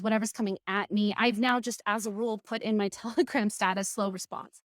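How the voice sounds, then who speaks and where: A woman guest on an interview podcast, speaking at a middle pitch with a candid, lively and reflective manner. The recording is dry and close, with no room sound. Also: no room sound. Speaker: podcast guest, community team lead. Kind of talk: casual interview conversation.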